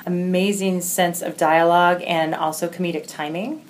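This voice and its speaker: A woman's voice: on the low side, fairly even intonation, moderate pace. A woman explaining things informally in an interview, as the organizer of a program.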